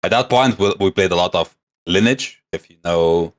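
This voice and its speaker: Man, Lithuanian-mixed English accent, deep voice, rambly podcast guest. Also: normal speed. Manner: rambling, many fillers and restarts. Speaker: guest, streamer. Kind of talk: casual podcast chat.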